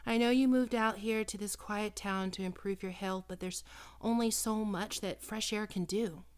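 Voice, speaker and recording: Woman doing a doting vampire lady doctor for asmr roleplay, coaxing and teasing, mid pitch, slips into whispering a lot. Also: steady tone, normal speed, close to an everyday voice. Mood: concerned, chiding. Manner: coaxing, cooing, teasing, often dropping to breathy whispers. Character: female vampire posing as a doting, possessive small-town doctor. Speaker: doctor character in audio roleplay.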